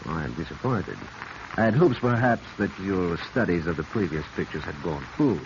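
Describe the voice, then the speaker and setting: An actor playing a gallant, formal museum official in a 1940s radio drama, speaking in flowery, courteous phrases. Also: male voice, sits low, animated, moderate pace. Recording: muffled, very noisy vintage radio recording.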